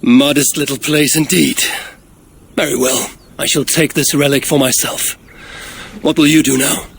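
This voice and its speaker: A man's voice: mid-range voice, steady tone, moderate pace, creaky, fairly bright tone, slight background noise. A man playing an imperious Norse god, lord of Asgard, in a video game, curt and demanding with formal archaic phrasing. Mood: stern. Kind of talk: cinematic video game acting.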